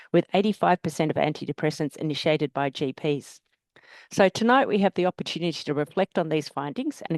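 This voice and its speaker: A woman hosting a webinar over a video call, reading a prepared introduction in a measured way with small slips. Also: low-pitched, darkish tone, no echo. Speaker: host, GP education advisor.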